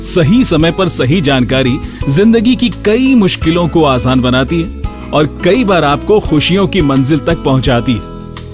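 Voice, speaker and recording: Animated male radio host over music. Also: on the high side. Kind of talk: scripted radio narration. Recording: music bed behind the voice.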